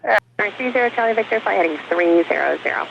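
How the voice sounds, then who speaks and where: Very fast woman controller on noisy radio. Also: medium pitch, creaky. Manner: calm, professional, patient. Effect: aviation radio. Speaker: air traffic controller. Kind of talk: radio traffic instructions. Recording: noisy, muffled air traffic radio.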